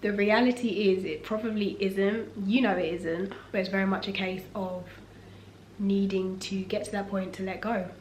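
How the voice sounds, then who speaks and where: A young woman with a husky voice from a cough, talking in a relaxed, reflective sit-down vlog at home. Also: medium pitch, normal speed, a little hiss or hum. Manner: relaxed, reflective and faith-focused. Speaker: vlogger. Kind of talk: casual sit-down talk. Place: room at home. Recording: echoey room, faint ambience.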